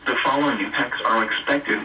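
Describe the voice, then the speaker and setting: A synthetic male announcer reads a scripted emergency weather warning in a flat, formal, list-like way over weather radio. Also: mid-range voice, brisk. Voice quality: synthetic text-to-speech voice. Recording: distant weather radio through a speaker.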